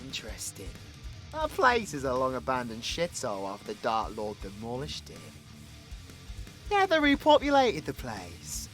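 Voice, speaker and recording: A man's voice: medium pitch, wildly swooping pitch, moderate pace, fairly bright tone, soft background music. A British man playing a cocky, foul-mouthed, brawling supervillain in an audio roleplay, mocking and crude. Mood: mocking, menacing. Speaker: voice actor.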